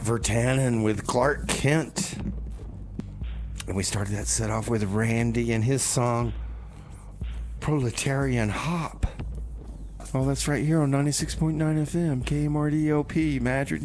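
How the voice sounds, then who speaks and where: A man's voice: mid-range voice, steady tone, measured pace, a little mellow, a little hiss or hum. A man casually announcing songs and bantering as the host of a community radio music show. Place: radio studio.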